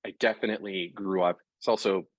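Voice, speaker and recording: A man being interviewed about his work in fashion, talking in a lively, conversational way and working through examples with frequent restarts. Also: low-pitched, fast talker, no room sound. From Puerto Rico. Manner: animated, talks through examples with self-corrections. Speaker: interview guest, fashion product executive.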